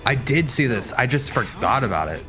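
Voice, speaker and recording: A man's voice: medium pitch, very animated, a little fast, music in the background. A man streaming casual watch party commentary, reacting in a musing, tentative way.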